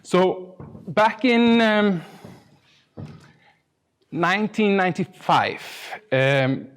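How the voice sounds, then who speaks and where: A man's voice: sits high, animated, very slow, clear, resonant tone. A middle-aged man with an Icelandic accent giving an informal conference talk in a hesitant, self-deprecating way with dry humour. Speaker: conference speaker, UX designer.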